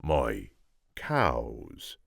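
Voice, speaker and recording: A man voicing a tiny, henpecked old farmer with a halting, bewildered manner. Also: a bass voice, huge pitch swings, creaky, a bit bright, breathy edge, no echo, clean studio sound, voice pushed down, heavily acted.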